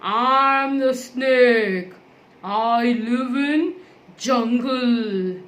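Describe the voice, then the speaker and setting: A woman voicing a friendly snake puppet in a children's educational show, deliberately repeating each fact for young listeners. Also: fairly high pitch, fairly bright tone. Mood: friendly, instructive.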